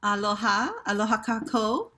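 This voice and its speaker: A woman's voice: medium pitch, moderate pace. Chatty woman in her fifties. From Hawaii. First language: Hawaii Pidgin Creole. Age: 50s. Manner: chatty and animated, with laughs and fillers. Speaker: theater company director. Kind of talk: panel introduction over video call. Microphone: video call.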